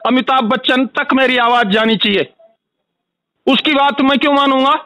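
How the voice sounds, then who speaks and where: A man's voice: very high voice, moderate pace, pushed and bright, no echo. An angry man making a heated complaint as a customer on a phone call, insistent and repetitive. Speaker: complaining customer calling a helpline.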